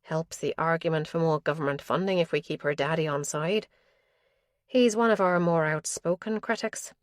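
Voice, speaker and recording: A woman lifting her voice for a brisk, wry young policewoman. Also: low-pitched, dry recording, clean studio sound, close to an everyday voice.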